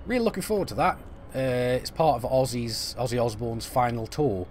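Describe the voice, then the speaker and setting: A man's voice: medium pitch, expressive, moderate pace, a little mellow, slight background noise. A middle-aged man, chatting casually on a live stream while he plays, reading and answering viewer messages with lots of fillers and restarts. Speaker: game streamer.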